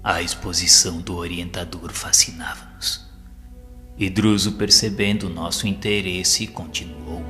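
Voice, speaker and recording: A man reading a solemn audio novel in a measured, formal, sermon-like way. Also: deep voice, steady tone. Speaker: audiobook narrator. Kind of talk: solemn scripted narration of a spiritist audio novel. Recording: long reverb with music underneath. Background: music.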